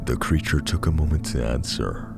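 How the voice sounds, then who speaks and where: A man reading a scripted horror story as narrator, in a measured, dramatic way. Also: extremely low pitch, gravelly. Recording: music behind the voice.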